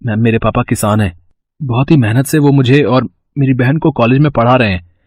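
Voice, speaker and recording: A man's voice: medium pitch, brisk, darkish tone, dry recording, lightly acted. A man voicing an infatuated 21-year-old college student who talks in a hesitant, pleading, fawning way, recorded dry.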